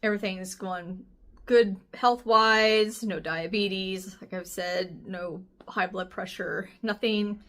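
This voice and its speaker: A woman in her forties with a slightly raspy voice, chatting casually and candidly as a vlogger giving a personal update. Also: mid-range voice, fairly even intonation, slow, a bit bright. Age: 40s. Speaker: health vlogger.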